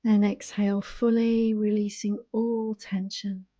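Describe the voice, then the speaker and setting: A woman guiding a yoga nidra relaxation in a soothing, hushed, unhurried manner, close to the microphone. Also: mid-range voice, level tone, no echo, muffled recording. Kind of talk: guided relaxation meditation.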